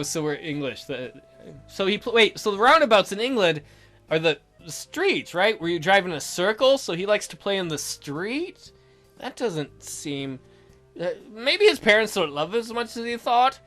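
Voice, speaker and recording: Animated, bright-voiced man hosting a let's play. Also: high voice, moderate pace, faint music behind. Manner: theatrical, jokey. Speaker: let's play host and narrator. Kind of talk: reading a visual novel aloud with ad-libbed commentary.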